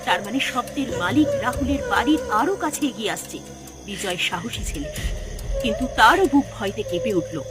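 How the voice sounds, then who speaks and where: Expressive woman narrating a horror story. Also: a little high, normal speed, over loud music.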